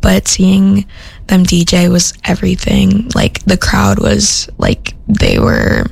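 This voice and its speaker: A woman's voice: medium pitch, huge pitch swings, slow pace, vocal fry, husky. A young woman from Minnesota, a radio host chatting casually between songs in an upbeat, rambling way full of "like" and "um," recorded in a studio. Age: young adult.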